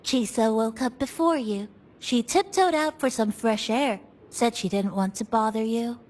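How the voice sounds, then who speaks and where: Woman acting as cafe attendant, video game dialogue, high-pitched, slow-paced, gently teasing, kindly, background music. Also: slight background noise, close to an everyday voice. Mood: gentle. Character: playful, kindly female cafe attendant addressing a passenger.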